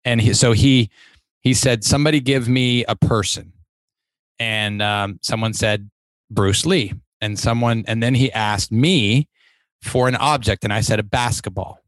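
A man's voice: on the low side, steady tone, unhurried, dry recording, rough recording. A man hosting a podcast interview, talkative and enthusiastic, rambling a little as he sets up questions. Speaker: podcast host. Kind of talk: casual interview chat.

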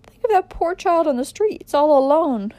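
High, wailing, anguished older mother. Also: animated, normal speed, mellow, no echo. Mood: pleading. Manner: wailing, pleading. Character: anguished, timid older mother, pleading and submissive.

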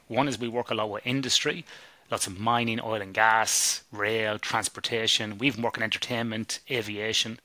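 Irish man, low and bright, dry sound. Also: no room sound. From Ireland. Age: middle-aged. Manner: chatty, swears casually, laughs often. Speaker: guest, sleep researcher. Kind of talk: casual podcast interview.

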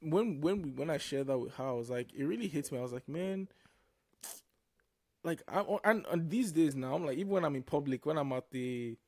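A man's voice: fairly high pitch, animated, brisk, a little mellow, no echo, clean studio sound. A young man from Nigeria with a Nigerian accent, co-hosting a casual faith-based podcast, reflective and often restarting his words. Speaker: podcast co-host.

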